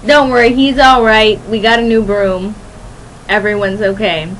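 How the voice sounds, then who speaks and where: A young woman hosting a radio show, rambling casually to listeners in a chatty, playful, animated and giggly way. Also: mid-range voice, measured pace, slight background noise, rough recording. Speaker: radio host.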